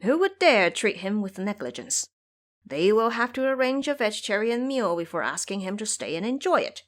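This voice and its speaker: A woman's voice: medium pitch, big pitch swings, normal speed, natural voice. A woman voicing a boastful, foolish pig-monster monk in an audio drama, eager and blustering.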